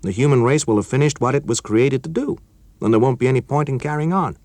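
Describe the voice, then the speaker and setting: A man's voice: medium pitch, expressive, fast talker, darkish tone, no echo, pitched above the performer's own voice, lightly acted. A man reading a story, voicing an urgent, nervous young male technician in a raised, jumpy voice.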